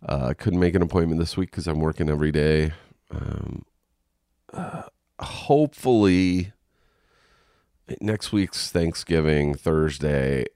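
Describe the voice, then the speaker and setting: A man with a very deep, gravelly voice and a stuffy, nasal sound from a congested head. He rambles slowly and frankly through a solo podcast, full of ums and restarts. The recording is close and dry. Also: dark tone, no echo. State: congested and coughing. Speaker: podcast host. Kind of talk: casual solo podcast monologue. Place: home.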